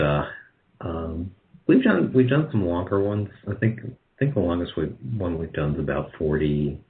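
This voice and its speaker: Very deep-voiced man in casual interview. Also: phone-quality sound, a little hiss or hum. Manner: self-deprecating, rambling with false starts. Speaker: guest, radio drama producer.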